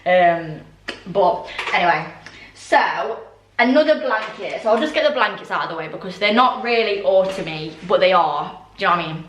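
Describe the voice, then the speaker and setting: A young woman with a voice going hoarse, chatting casually through a home haul with lots of ums and asides. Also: fairly low pitch, expressive, moderate pace, roomy sound, far from the mic, a little hiss or hum. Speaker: vlogger. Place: orangery at home, indoors.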